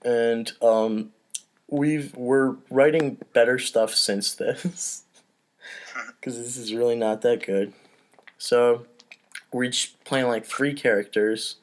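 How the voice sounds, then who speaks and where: A man's voice: medium pitch, steady tone, takes it slowly. A man hosting a podcast in casual, rambling, self-deprecating chat, with some swearing, recorded over an online voice call. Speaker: podcast host and narrator. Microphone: home mic on online voice call.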